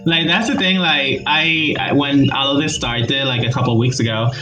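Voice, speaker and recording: A man from Puerto Rico with a Puerto Rican accent, chatting casually as a guest, animated and laughing often, with many "like" fillers. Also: mid-range voice, moderate pace, soft background music, rough recording. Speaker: guest YouTuber. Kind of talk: casual video-call conversation.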